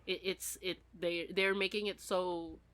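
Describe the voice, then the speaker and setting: A woman's voice: on the low side, flat delivery, measured pace, a bit bright. A woman in a casual, rambling fan discussion, talking as a podcast co-host.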